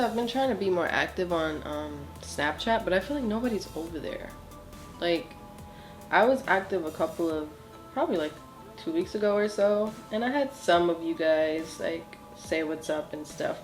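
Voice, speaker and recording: Young woman vlogger, lowish and nasal from a cold, chatty and apologetic, soft music bed. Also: normal speed. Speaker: beauty vlogger. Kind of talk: casual makeup tutorial chat. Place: small home studio with plain wall.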